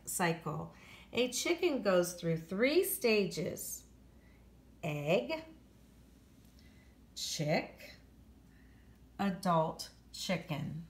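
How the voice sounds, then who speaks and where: A woman's voice: mid-range voice, a bit bright, roomy sound. An American woman teacher reading aloud to children, explaining and rephrasing facts as she goes. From United States. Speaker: elementary school teacher. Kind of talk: read-aloud lesson for children.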